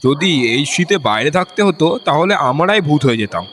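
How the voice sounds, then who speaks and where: A man's voice: a little high, lively intonation, moderate pace, a bit bright, in a large hall, a little hiss or hum. A man voicing a timid, fretful male friend who is scared of haunted houses in an audio ghost story. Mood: frightened, fretful. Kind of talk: dramatised ghost story dialogue.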